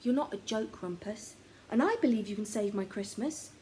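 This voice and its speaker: A woman's voice: mid-range voice, expressive, normal speed, darkish tone, slight background noise, lightly acted. A woman voicing a nervous, earnest young boy in a children's story, hesitant and easily flustered. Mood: timid, earnest. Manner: hesitant, questioning, anxious. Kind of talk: children's story character reading.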